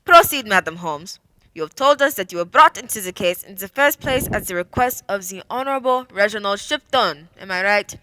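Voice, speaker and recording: A woman's voice: medium pitch, animated, a little fast, pushed and bright, rough recording. A woman playing a stern, authoritative prefect at a hearing in a radio play, formal and commanding. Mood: official.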